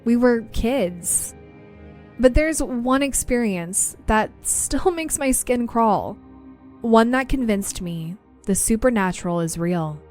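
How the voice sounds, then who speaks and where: Young woman, podcast host, slightly high voice, chatty and casual, faint music behind. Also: expressive, slow, darkish tone. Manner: chatty, laughs easily, reads stories aloud. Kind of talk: casual chat and reading listener stories.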